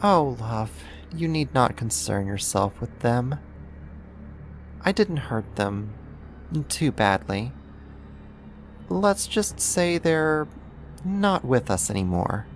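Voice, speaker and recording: A performer voicing a possessive yandere neighbor turned kidnapper in an intimate ASMR roleplay, coaxing and doting with a quiet menace and deliberate pauses. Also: medium pitch, sing-song, slight background noise. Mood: sinister, soothing.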